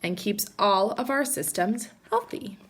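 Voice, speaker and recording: A woman teacher reading a children's storybook aloud in an animated, emphatic way and speaking directly to young listeners. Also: medium pitch, measured pace, a little hiss or hum. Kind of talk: expressive children's storybook read-aloud with asides.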